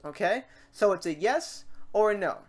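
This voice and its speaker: A woman hosting a casual software tutorial, talking informally and reassuringly to the viewer in an animated way. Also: medium pitch, normal speed, slight background noise. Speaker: tutorial host.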